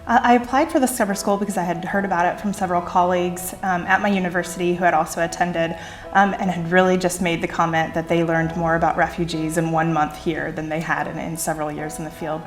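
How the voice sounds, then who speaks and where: Texas grad student woman, lowish voice, echoey room, soft music. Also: little pitch movement, quick pace. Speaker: anthropology PhD student. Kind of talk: interview testimony. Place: indoor room with curtain backdrop. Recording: roomy echo, faint music.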